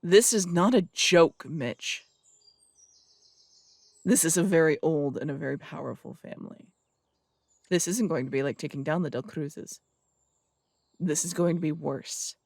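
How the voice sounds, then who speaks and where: A man playing a gravelly stern cop. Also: fairly high pitch, measured pace, pauses often, dry recording. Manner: blunt, warning, threatening. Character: stern adult male cop who runs the morgue. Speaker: detective. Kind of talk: audio roleplay drama.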